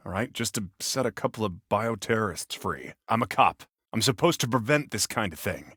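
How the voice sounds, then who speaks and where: A man's voice: mid-range voice, a bit bright, no room sound, studio quality. A man with an American accent playing a protective, exasperated cop and older brother in a video game, earnest, bossy and wry. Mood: wary, disapproving.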